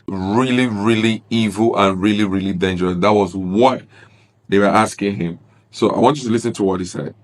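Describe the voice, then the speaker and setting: A man's voice: fairly low pitch, fairly bright tone. Earnest man commenting on a clip. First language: Igbo. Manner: earnest, grieved, explains and repeats points. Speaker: reaction video host.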